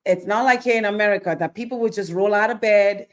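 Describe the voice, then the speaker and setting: A woman's voice: mid-range voice, fast talker. A woman from Nigeria giving a sermon-style Bible teaching, asking rhetorical questions, acting out little scenes and checking in with "okay?". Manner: animated, keeps checking in with "okay?".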